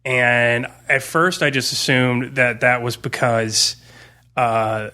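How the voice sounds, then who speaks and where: Bright-voiced man chatting casually about gaming. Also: medium pitch, steady tone, slow. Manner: informal, slangy, thinking aloud. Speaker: podcast guest, tabletop game master. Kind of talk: casual chat explaining his game campaign design.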